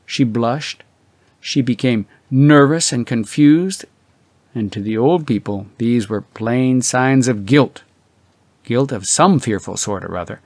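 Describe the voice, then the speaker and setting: A man narrates a classic story in a measured, theatrical way. His pitch sits in the middle range, and he stretches words for emphasis. The sound is dry, with almost no room around it. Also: a little mellow, no room sound. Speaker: audiobook narrator. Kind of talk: scripted literary narration.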